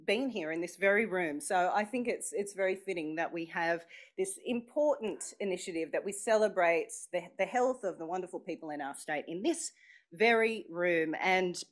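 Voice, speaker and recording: A woman's voice: lowish voice, normal speed. An Australian woman hosting a live event, speaking to a room full of people in a chatty, playful way that draws the audience in. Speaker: master of ceremonies at an event launch.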